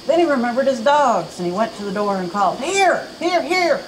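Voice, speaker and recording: A woman reads a spooky folk tale aloud to a live audience, her voice building drama as the story goes on. She speaks at a middle pitch. A noisy hiss runs through the live recording. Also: animated, fast. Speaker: reader at a library event. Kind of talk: reading a children's story aloud. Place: campus event room.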